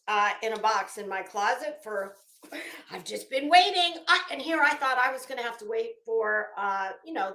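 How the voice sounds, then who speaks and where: A woman's voice: fairly high pitch, fairly bright tone. A woman chatting casually as a livestream host, rambling and theatrical, often breaking off mid-thought and starting again. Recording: echoey room sound.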